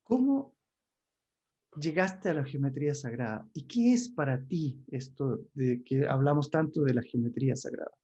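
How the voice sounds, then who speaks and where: A man hosts an interview, asking his questions hesitantly and often restarting as he searches for the right words. His voice is dark and mellow, at a mid pitch. The recording sounds dull and lo-fi. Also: animated, fairly quick, breathy edge. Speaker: interview host.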